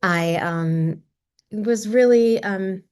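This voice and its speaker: A middle-aged woman from Maryland, a documentary filmmaker, talking about her film in a reflective, halting way with many pauses and restarts. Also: mid-range voice, a little mellow, clean tone.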